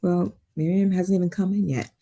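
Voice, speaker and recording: A woman playing a composed but worried therapist in a dramatic table read, measured and guarded. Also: lowish voice, steady tone, warm tone. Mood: concerned.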